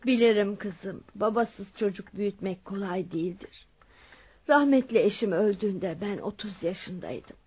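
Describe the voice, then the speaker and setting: An actress playing a wealthy, kindly widow near seventy in a Turkish radio drama, frank and dignified. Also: female voice, mid-range voice, moderate pace, a little mellow, husky, natural voice. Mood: sorrowful. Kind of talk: Turkish radio theatre acting.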